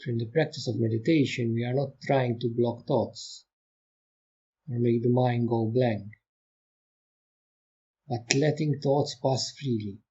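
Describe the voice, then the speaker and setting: Slow, mellow man guiding meditation. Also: mid-range voice, slightly rough, muffled recording. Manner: gentle, unhurried, calm instruction with long pauses. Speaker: meditation teacher. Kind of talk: guided meditation.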